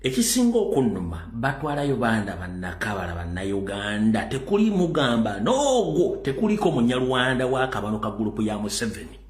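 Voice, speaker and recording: A man's voice: medium pitch, big pitch swings, a little fast, few pauses. Insistent male political commentator, echoey room. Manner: urgent, insistent, mixing English into his speech. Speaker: political talk show host.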